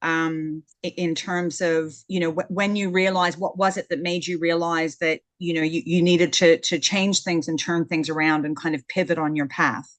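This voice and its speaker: Canadian-born lady host, lowish voice, gushing and rambly, dry mic sound. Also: fairly even intonation, moderate pace, hardly any pauses, no echo. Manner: effusive, rambling, with many restarts. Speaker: podcast host. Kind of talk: casual interview conversation.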